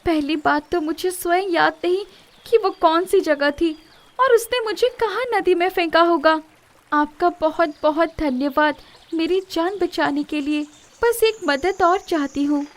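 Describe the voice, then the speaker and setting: A very high-voiced young fairy maiden played by a woman from an animated storybook tale. She speaks politely and earnestly, with formal wording and dramatic, expressive delivery. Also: measured pace.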